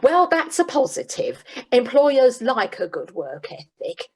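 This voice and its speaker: Woman as a job agent, businesslike, dryly exasperated, breathy tone, lively pitch. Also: unhurried, exaggerated voice. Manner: businesslike, dry, faintly exasperated. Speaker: employment agent. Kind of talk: comic radio play acting.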